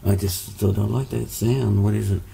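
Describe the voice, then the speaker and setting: A man's voice: fairly low pitch, fairly even intonation, normal speed, gravelly, mellow. A man, born in Hungary, telling stories as a musician guest in a casual interview, rambling with many fillers and restarts.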